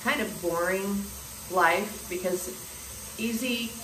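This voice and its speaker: A woman in her forties talks earnestly to her phone camera, musing and restarting her thoughts, with a slightly scratchy throat. Her voice sits at a middle pitch. The small bathroom adds a roomy echo, with a faint hiss underneath. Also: slow pace, bright, forceful tone. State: scratchy throat, clearing it often. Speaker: spiritual vlogger. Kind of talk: casual spiritual monologue to camera.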